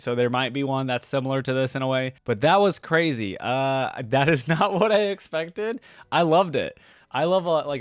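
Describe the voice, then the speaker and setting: A man's voice: medium pitch, no room sound, clean studio sound. A man hosting a reaction video in a casual, slangy, chatty and enthusiastic way. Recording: music playing behind the voice. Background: music from the videos being watched.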